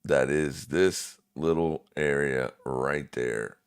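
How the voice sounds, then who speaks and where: A man's voice: a bass voice, fairly even intonation, takes it slowly, clean tone. A man with a smoker's voice giving casual, laid-back live market commentary to viewers as a streaming trading host.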